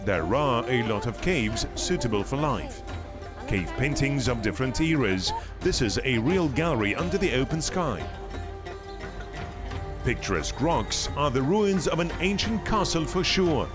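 A man reading scripted documentary narration in a lively storytelling way. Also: medium pitch, a little slow. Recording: voice-over with music behind.

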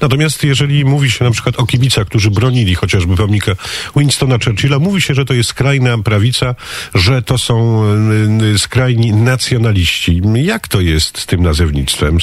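A male radio host leads a conversational interview. His voice sits at an average pitch and sounds dry, with no room echo. He phrases his questions deliberately, in halting pieces. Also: expressive.

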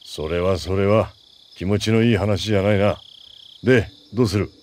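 An actor playing a gruff, terse special forces soldier in a dramatic video game, stoic and wary. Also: male voice, lowish voice, normal speed, darkish tone, slight background noise, natural voice. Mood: dry, stoic.

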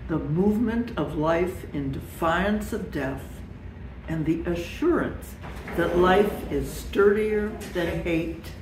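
Elderly female reader, solemn and measured, unhurried delivery, roomy home recording. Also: sits low, darkish tone. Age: 70s or older. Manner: measured, deliberate phrasing. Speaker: congregation member reading. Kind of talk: solemn scripted devotional reading. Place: living room at home, indoors. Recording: roomy, distant mic, faint noise.

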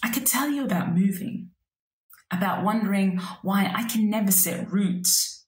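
A woman in her thirties, a native Arabic speaker, reading her own poem aloud in a slow, measured, reflective way at a desk webcam in a small room. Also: mid-range voice, echoey room. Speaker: poet. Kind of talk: spoken word poetry reading.

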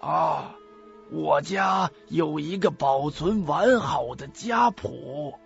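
A man playing a chatty, boastful old theater odd-jobber with a husky, creaky voice and folksy manner in a radio drama. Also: fairly high pitch, very slow, heavily acted. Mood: friendly, curious. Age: older. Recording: muffled lo-fi audio with faint music.

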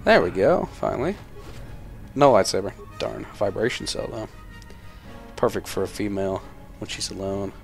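A man's voice: fairly low pitch, moderate pace, gravelly, pauses often, soft background music. A young man giving casual, sarcastic and sweary commentary while playing a video game. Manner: sarcastic, profane running commentary. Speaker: gaming video host.

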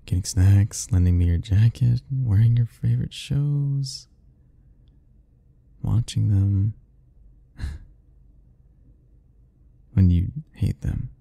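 A young man softly speaking a tender, hesitant ASMR roleplay, musing aloud. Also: lowish voice, takes it slowly, gravelly, long pauses. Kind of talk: soft-spoken ASMR roleplay.

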